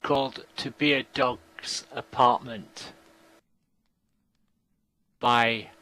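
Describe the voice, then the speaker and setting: A man performing a poetry reading in deliberate short phrases with long pauses. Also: mid-range voice, steady tone, sharp tone, poor audio.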